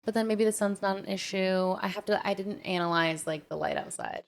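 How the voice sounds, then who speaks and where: A woman co-hosting a podcast, chatting in an informal, slangy way and thinking out loud as she talks. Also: mid-range voice, fairly quick, gravelly. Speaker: podcast co-host. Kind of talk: casual podcast chat.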